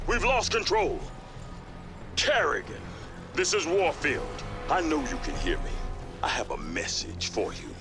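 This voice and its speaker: An actor playing an old, defiant military general in a video game, barking orders in a forceful manner. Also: male voice, sits high, full character voice. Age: older. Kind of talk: video game cutscene acting.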